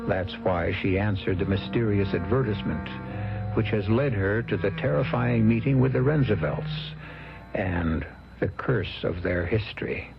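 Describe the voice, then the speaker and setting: A man narrating an old radio mystery series as host, theatrical and ominous, with measured delivery and a gravelly voice. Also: on the low side. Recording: muffled old radio recording. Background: loud dramatic music.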